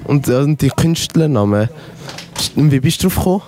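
Male interviewer, casual, dark relaxed voice, informal questions at an open-air festival. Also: on the high side, normal speed, a little hiss or hum. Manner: informal, loosely phrased questions. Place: outdoor music festival.

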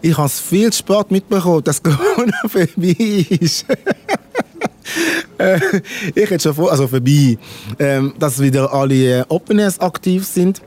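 Mellow-voiced man, native Lingala speaker. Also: on the high side. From Congo. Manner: unhurried, searching for words. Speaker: musician guest. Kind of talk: casual interview. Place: outdoor music festival.

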